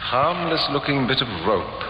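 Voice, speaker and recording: An actor playing a composed, probing police inspector in a vintage radio drama on an echoey, very noisy recording. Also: male voice, medium pitch, very animated, unhurried, muffled recording. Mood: probing, controlled. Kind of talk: 1950s radio drama acting.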